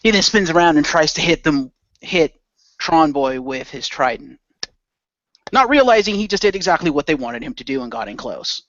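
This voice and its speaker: A man's voice: fairly high pitch, lively intonation, normal speed, fairly bright tone, no echo, lo-fi sound. A man narrating action scenes in an improvised radio play, as one of its players.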